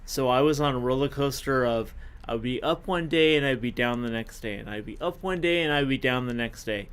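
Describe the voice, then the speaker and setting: A man of fifty, a podcast guest, telling his own story in a casual, halting way with many fillers and self-corrections. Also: mid-range voice, a bit bright, smooth. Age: 50s.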